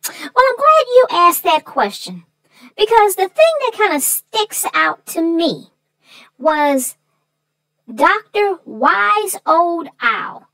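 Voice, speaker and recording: Woman putting on a sassy, very high-pitched folksy sidekick. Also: fairly bright tone, no room sound, exaggerated voice, rough recording. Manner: folksy, drawn-out, sassy exclamations. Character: sassy, folksy female sidekick character, chatty and theatrical. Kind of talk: bantering discussion of a children's story.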